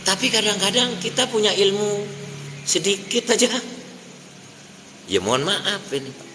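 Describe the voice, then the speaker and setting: A man preaching a sermon, questioning his listeners and chanting verses slowly. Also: high-pitched, lively intonation, sharp tone. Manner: addresses listeners as Pak, asks the crowd questions. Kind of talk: mosque sermon. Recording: hall reverb, faint noise.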